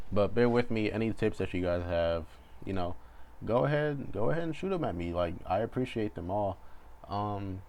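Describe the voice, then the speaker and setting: A young man chatting casually and thinking aloud as he hosts a video, laid-back and unscripted in a hesitant, rambling way. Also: low-pitched. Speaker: video creator and host. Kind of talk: casual rambling chat while drawing. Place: bedroom at home, indoors. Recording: home recording with faint background noise.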